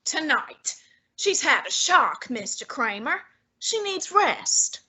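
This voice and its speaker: A woman playing a fussy, imperious, heavyset male detective in a student radio drama, speaking in a haughty, deliberate, commanding way with a breathy tone. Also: high voice, big pitch swings, bright, forceful tone, heavily acted.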